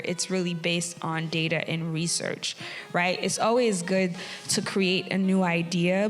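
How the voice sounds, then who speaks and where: Haiti-raised woman panelist, lower voice, earnest, reverberant theatre hall. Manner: earnest, friendly, with fillers and laughs. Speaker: tech community founder, panelist. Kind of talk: live panel talk. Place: theatre stage.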